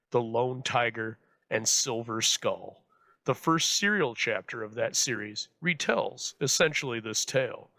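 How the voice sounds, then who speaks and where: A man reading scripted narration as a host, measured and formal, close to the mic. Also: medium pitch, gravelly, bright, forceful tone, no echo. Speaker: host introducing old radio episodes.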